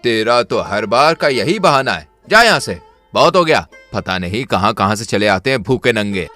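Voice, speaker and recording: A man's voice: on the low side, big pitch swings, moderate pace, bright, forceful tone, soft background music. A man voicing a rude, dismissive village shopkeeper in a cartoon story. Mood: harsh. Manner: harsh, dismissive. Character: rude gruff village ice seller man.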